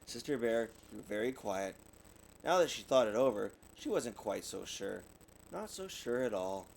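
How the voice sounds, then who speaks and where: A man's voice: on the low side, expressive, unhurried, creaky, darkish tone, a little hiss or hum, low-quality recording. A man reading a children's story aloud expressively, like a parent, with the occasional stumble over words. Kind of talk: animated storybook narration.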